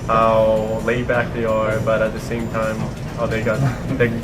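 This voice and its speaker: Man from Colombia, twenties, flat intonation, casual testimonial, loud music. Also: on the low side, measured pace. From Bogota, Colombia. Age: 20s. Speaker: student intern.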